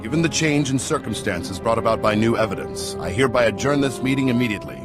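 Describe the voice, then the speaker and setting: An actor playing an even-handed senate chairman, courteous and official, in a video game. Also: medium pitch, quick pace, close to an everyday voice. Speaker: chair of a hearing. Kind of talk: video game voice acting, formal proceedings. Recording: music behind the voice.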